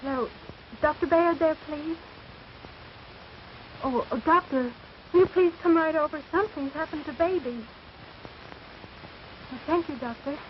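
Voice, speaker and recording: A high-pitched, pleading young mother in a 1930s melodrama. She sounds fretful and anxious, on a muffled old film soundtrack with faint hiss. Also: measured pace, long pauses.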